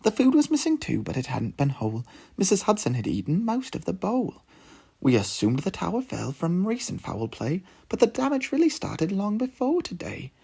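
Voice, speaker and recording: A man's voice: sits high, wildly swooping pitch, normal speed, vocal fry, mellow, breathy edge, voice pushed up, heavily acted. A man doing an eager clever cat sidekick. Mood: explaining. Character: clever female cat sidekick, brisk and earnest.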